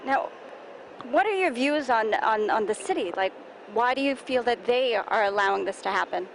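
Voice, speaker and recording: A woman interviewing people at an outdoor street rally, asking questions in a lively, prompting way. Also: on the high side, moderate pace, slight background noise, rough recording.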